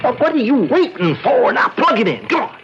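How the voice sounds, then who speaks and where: A man's voice: extremely high pitch, sing-song, a little fast, heavily acted. An actor playing a menacing, cocky gangster killer with slangy tough-guy talk in an old-time radio drama. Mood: sneering, menacing. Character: menacing, cocky gangster killer, sneering, panicky when cornered. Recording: dull, very noisy old radio recording.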